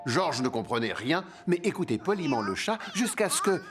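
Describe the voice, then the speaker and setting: A narrator telling a children's cartoon story in a gentle, lively way. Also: mid-range voice, moderate pace, loud music behind the voice.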